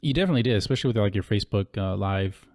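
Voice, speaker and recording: A man's voice: lowish voice, very fast, darkish tone, no echo, studio quality. A man hosting a podcast from Hawaii, chatting informally with lots of casual fillers. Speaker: podcast host. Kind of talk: casual interview conversation. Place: podcast studio. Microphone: studio microphone.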